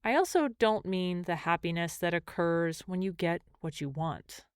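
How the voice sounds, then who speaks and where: A woman leading a guided meditation as a mindfulness teacher, speaking gently and in measured phrases with pauses. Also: fairly low pitch, clean tone, no echo, clean studio sound.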